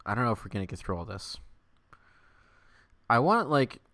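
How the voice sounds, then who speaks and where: A man's voice: mid-range voice, very animated, normal speed, a bit bright. Man hosting a tongue-in-cheek livestream reading. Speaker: livestream host. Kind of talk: dramatic storybook-like reading with casual livestream chat.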